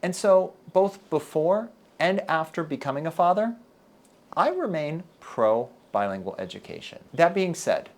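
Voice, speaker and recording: Texan guy lecturing to camera, earnest and measured, pitch swings up and down a lot. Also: very animated. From Texas. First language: English. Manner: measured, earnest, deliberate delivery. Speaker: university lecturer. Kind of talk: scripted video lecture to camera.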